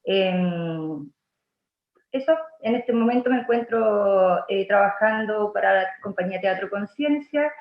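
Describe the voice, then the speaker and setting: A Chilean actress and playwright talking over a video call, halting, with many restarts. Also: female voice, mid-range voice. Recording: echoey room, muffled lo-fi sound.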